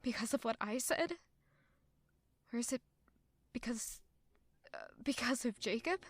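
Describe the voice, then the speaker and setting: An actress playing a young maid who is defensive and in love, thinking aloud with strong emotion in an audio drama. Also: female voice, fairly high pitch, animated, a little slow. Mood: pensive.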